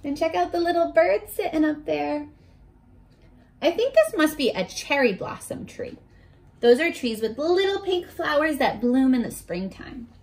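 High, lively young woman reading to children. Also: unhurried. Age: 20s. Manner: expressive, clearly addressing young children. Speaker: teacher reading a picture book aloud. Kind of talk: children's read-aloud with simple questions to listeners. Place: small furnished bedroom, indoors.

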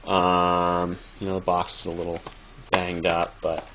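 Male reseller vlogger, lowish voice, unscripted and halting, amateur phone audio with noise. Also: monotone, lo-fi sound. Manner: halting, loosely improvised. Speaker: video maker, thrift reseller. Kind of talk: casual rambling how-to talk. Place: cluttered dim room indoors. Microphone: handheld phone. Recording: noisy amateur phone recording.

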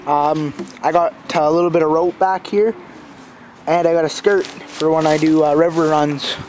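A man giving a casual, unscripted walkthrough as an amateur reviewer, talking in a halting, informal way outdoors on a handheld phone. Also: fairly high pitch, steady tone, darkish tone. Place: outdoors in a backyard. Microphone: handheld phone camera. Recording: outdoor phone recording with clear background noise. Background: passing cars.

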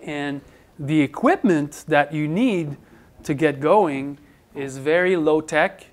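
A middle-aged man talks easily to a packed indoor hall, his voice sitting a little high. He speaks loosely, with pauses and restarts, as if chatting through slides. The sound is a handheld mic picked up in a big room. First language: French. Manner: relaxed, conversational, with fillers and restarts. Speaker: farmer giving a talk. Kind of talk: conference presentation. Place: large indoor hall with audience. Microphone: handheld mic, far camera.

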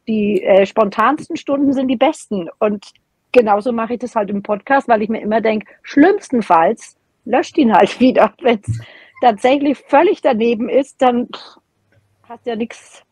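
Husky middle-aged lady, chatty and candid, very dry close sound. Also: on the high side, normal speed, darkish tone, no echo. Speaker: podcast guest, teacher. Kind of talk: casual chat. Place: small room at home, indoors.

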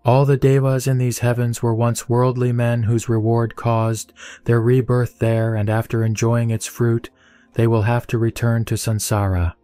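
A man giving a steady, even reading of a Buddhist scripture. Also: medium pitch, moderate pace, clear, resonant tone, no room sound. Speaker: sutra reciter. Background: soft background music.

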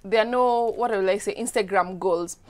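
A woman's voice: medium pitch, moderate pace. Kenyan woman, young talk show co-host, chatty, stops and restarts, studio mic. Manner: chatty, hesitant restarts while storytelling. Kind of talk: casual studio chat. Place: bright TV studio set.

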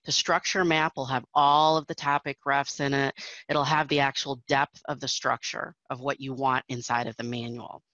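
A woman's voice: rumbling low, level tone, normal speed, a bit bright, dry recording, muffled recording. A woman presenting a technical webinar over slides, explaining steadily with frequent fillers.

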